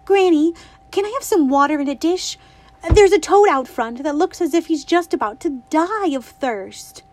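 Woman voicing an anxious little girl, high, breathless, pleading, audiobook. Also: animated, normal speed, a little mellow. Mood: eager. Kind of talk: audiobook reading.

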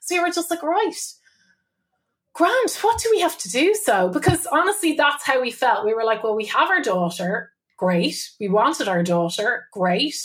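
Chatty Irish woman, slightly high voice. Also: expressive, normal speed, fairly bright tone. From Ireland. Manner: chatty, laughs often, colloquial. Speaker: guest, business owner and mother. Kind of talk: podcast interview, candid storytelling.

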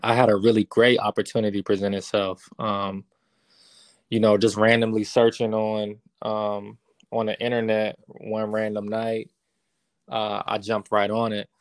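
A man in his thirties speaks low and gravelly, with a flat, even delivery. He answers interview questions casually, full of fillers and restarts. The recording is dry and close. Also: measured pace, no echo. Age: 30s. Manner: relaxed, many fillers and restarts. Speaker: guest, real estate investor.

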